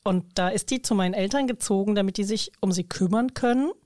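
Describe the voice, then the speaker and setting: Woman, casual chat, colloquial, talkative, dry close sound, medium pitch. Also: fairly quick, warm tone, dry recording. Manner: chatty, informal, colloquial.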